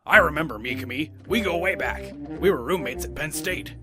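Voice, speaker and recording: A man's voice: fairly high pitch, animated, fairly quick, over music, close to an everyday voice. A man playing a boastful, unhinged police chief who rambles through crude stories in a comedic cartoon parody. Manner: rambling, gleefully crude, blustering. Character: boastful, unhinged old police chief telling lewd tales.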